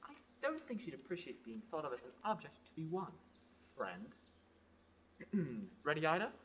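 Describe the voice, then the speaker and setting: A man's voice: on the high side, big pitch swings, normal speed, a bit bright, a little hiss or hum. A man playing a dry, stern, scheming archer companion in a radio play. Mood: wry, persuasive.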